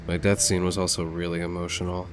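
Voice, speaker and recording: A low-voiced young man acted by a man in anime dub style. He is composed, serious and earnest, with clear music behind the voice. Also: flat delivery, fast, natural voice. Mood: earnest, measured. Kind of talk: anime fan-dub acting.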